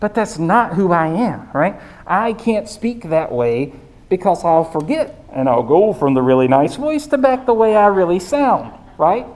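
A pastor with a squeaky voice preaching in a conversational way, often checking in with the listeners. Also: a little mellow. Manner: conversational, keeps asking "right?". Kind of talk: sermon.

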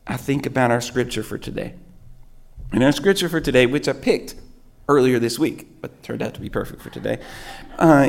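A hoarse male pastor tells a rambling, self-deprecating story during his sermon. His voice is gravelly from a sore throat and sits in a middling range for a man. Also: medium pitch.

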